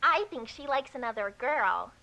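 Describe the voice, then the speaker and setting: A woman's voice: high voice, lively intonation, normal speed, gravelly, pushed and bright, heavily acted. A woman voicing an innocent, inquisitive little girl puppet in a naive, wide-eyed, childlike way. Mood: hesitant, curious. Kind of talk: children's puppet show parody.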